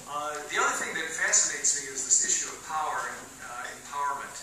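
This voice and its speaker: Soft-spoken man in an echoey hall. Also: fairly high pitch, expressive, brisk. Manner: hesitant, with many fillers and restarts. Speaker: panelist. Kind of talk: panel talk at a public meeting. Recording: echoey hall from far off, noisy.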